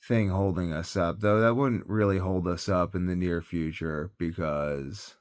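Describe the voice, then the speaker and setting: A man's voice: sits low, steady tone, unhurried, no echo, clean studio sound. A tired man talking in a casual, rambling monologue as a health vlogger, hesitant and thinking aloud, often trailing off.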